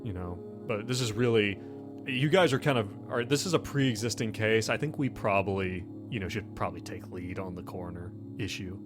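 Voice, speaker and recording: A man improvising tabletop game narration as game master, conversational and halting, often repeating himself. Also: lowish voice, music in the background.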